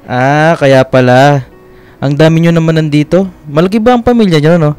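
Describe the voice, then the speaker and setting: A man's voice: fairly high pitch, normal speed, darkish tone, clean tone, soft background music, lightly acted. A man playing a polite, shy and friendly young Filipino man in a radio love drama, hesitant and apologetic.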